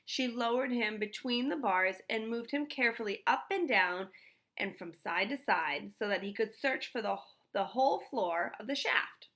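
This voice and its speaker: A woman reading a children's storybook aloud in an expressive style. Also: a little high, moderate pace. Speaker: storybook reader.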